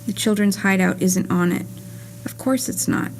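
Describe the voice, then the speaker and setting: A woman reading the part of a wry, anxious, lovesick traveller in a scripted puppet play, in a measured, confessional storytelling manner. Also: medium pitch, vocal fry, a little hiss or hum, close to an everyday voice, poor audio. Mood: wry unease. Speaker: reader performing a puppet play. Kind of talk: scripted first-person storytelling, letter-like monologue. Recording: live theatre reading over guitar music.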